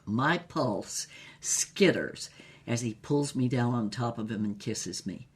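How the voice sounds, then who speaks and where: A bold, sultry spy and screen starlet as performed by an actor tells her own adventures. Her narration is theatrical and wry, in a medium-pitched voice suited to audio drama. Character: bold, sultry female spy and film star telling her exploits. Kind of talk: dramatic first-person scripted narration.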